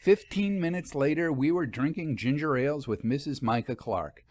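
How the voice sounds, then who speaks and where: A man's voice: on the high side, moderate pace, a little mellow, dry recording. A man reading scripted fiction aloud as the narrator.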